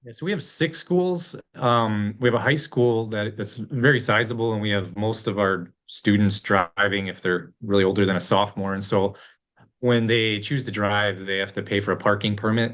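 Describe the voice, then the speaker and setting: A man with an Upper Midwest American accent talks in a relaxed, upbeat, easygoing way. His voice sits somewhat low for a man. He speaks the way a co-host steers a casual online session. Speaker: co-host, school district facilities staff. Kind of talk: casual webinar talk.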